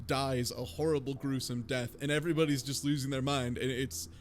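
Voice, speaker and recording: A man's voice: mid-range voice, brisk. A man co-hosting a podcast, casually recapping a game with swearing and acted-out quotes. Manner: sweary, animated mock-quoting. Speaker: podcast co-host. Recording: music faintly behind the talk.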